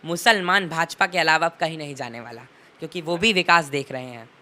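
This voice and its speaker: A man's voice: fairly high pitch, fairly quick, bright, forceful tone. A teenage young man giving impassioned political commentary in an interview, emphatic and oratorical, leaning on rhetorical repetition.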